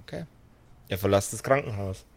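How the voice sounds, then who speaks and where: A man narrating an improvised tabletop game as game master, measured and pausing mid-sentence. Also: lowish voice, lively intonation, gravelly.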